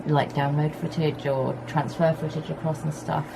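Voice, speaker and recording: A woman chatting casually to camera at home with a husky, hoarse voice, rambling in an easygoing way. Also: sits low, flat delivery, moderate pace. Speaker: vlogger. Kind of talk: casual vlog chat to camera. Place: home on a hot day, fan running. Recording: faint background hum of fan. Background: fan and pressure washer outside.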